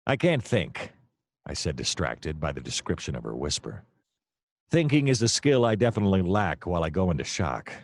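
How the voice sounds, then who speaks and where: Man playing a deep-voiced, deadpan noir private eye. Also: moderate pace, dry recording, clean studio sound, heavily acted. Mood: melodramatic, wry. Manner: deadpan, melodramatic. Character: seedy, self-deluded, wisecracking male noir private detective. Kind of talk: hardboiled noir first-person narration, comedic radio play.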